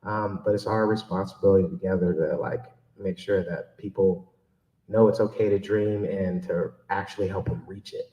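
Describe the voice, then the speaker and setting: A man's voice: low-pitched, moderate pace. A man giving an informal, joking and self-deprecating audience Q&A talk as a keynote speaker and author. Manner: joking, self-deprecating, animated when quoting himself. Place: public library event room. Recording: echoey room, mic at a distance.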